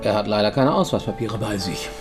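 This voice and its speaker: An actor playing a sardonic police inspector who questions people formally in a radio crime drama. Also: male voice, fairly low pitch, fairly quick, lightly acted. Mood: probing, dry. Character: dry, probing male police inspector on a night call. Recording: studio radio play, faint music.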